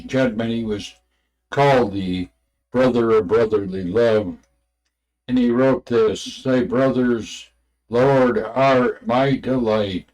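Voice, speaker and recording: A man with a mid-range voice reads aloud from a religious book at an extremely slow pace. His delivery is unpolished and halting, broken into short phrases, with stumbles over the wording. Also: medium pitch, darkish tone, poor audio. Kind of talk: unrehearsed reading aloud with asides.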